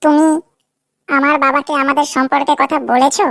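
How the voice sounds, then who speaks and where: A woman's voice: sits high, level tone, fairly quick, background noise. A woman voicing a coy, demanding young girlfriend in a Bengali comedy cartoon, whiny and pleading, often repeating herself.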